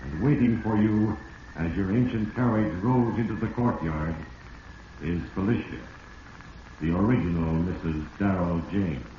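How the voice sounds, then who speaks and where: An actor playing the ominous voice of Fate, narrating a 1940s radio drama solemnly and deliberately to a doomed schemer. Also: male voice, deep voice. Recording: muffled, hissy 1940s radio, heavy echo.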